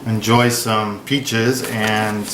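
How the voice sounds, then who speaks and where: Male home reviewer, lowish voice, informal and rambling, recorded in an echoey room over clear noise. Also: level tone, drawn-out words. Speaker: product review video host. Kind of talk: casual rambling hands-on review.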